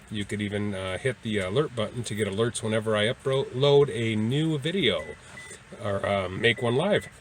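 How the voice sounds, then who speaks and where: A man's voice: medium pitch, fairly bright tone, a little hiss or hum. A man talking casually as a travel vlogger, in a rambling, hesitant way. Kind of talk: casual vlog talk.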